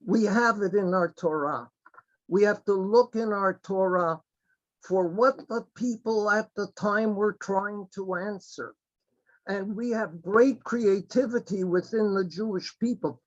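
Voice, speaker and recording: A high-pitched man talks slowly and earnestly over a video call, often halting mid-thought and starting again. The audio is dull and lo-fi, with the muffled sound of a webcast link. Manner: halting, earnest, with many restarts. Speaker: webinar participant. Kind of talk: impassioned remarks on a video call.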